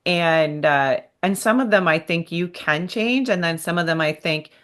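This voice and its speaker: A woman's voice: lowish voice, moderate pace, fairly bright tone. A woman in her fifties hosting a podcast interview, speaking candidly in a chatty, self-deprecating way. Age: 50s. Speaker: podcast host. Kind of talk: conversational interview.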